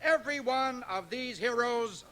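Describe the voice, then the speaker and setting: An actor playing a pompous small-town reeve, giving a grandiose ceremonial speech in a radio drama. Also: male voice, very high-pitched, bright, forceful tone, exaggerated voice. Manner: grandiose, drawn-out, declamatory. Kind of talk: stage oratory in a radio drama. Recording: home cassette transfer of 1980 radio broadcast.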